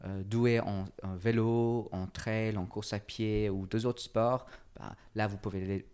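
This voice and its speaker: English-accented man speaking halting French. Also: on the low side, fairly even intonation, a little mellow, clean tone. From England. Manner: hesitant, many fillers and restarts. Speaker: guest, fitness coach. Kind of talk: casual interview, halting French.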